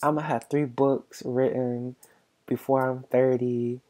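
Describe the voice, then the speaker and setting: A soft-spoken man in his mid-twenties chats loosely as a podcast host, his tone mellow and dark. He stops and restarts often and keeps leaning on filler words. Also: medium pitch, level tone. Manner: halting, filler-heavy, many restarts and likes. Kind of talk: rambling casual chat.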